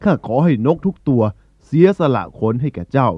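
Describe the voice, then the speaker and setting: Animated male eagle leader, acted by a man, commanding but caring, for a children's storybook; dry, slightly dull recording. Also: mid-range voice, moderate pace, no room sound, natural voice. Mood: consoling, solemn. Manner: commanding, addressing a gathering. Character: male eagle ruler of the birds, authoritative yet caring.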